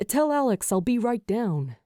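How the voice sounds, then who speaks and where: A woman voicing an earnest, teasing young man, a lovestruck ghost, in breathy, tender audiobook dialogue. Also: fairly high pitch, very animated, moderate pace, dark tone, no room sound, studio quality, pitched above the performer's own voice. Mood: pleading. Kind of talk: acted fan fiction audiobook dialogue.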